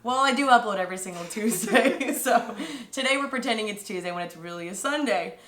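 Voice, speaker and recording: A woman's voice: mid-range voice, lively intonation, fairly quick, fairly bright tone, roomy sound. Bubbly, slangy woman podcast host. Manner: bubbly, slangy, laughs often. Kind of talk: casual podcast chat.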